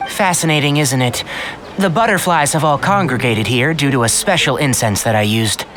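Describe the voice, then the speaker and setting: A man voicing a calm, knowledgeable young scholar in a video game, articulate and precise like a lecturer. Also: medium pitch, normal speed, noisy background, natural voice. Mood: calm, instructive. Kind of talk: game voice acting.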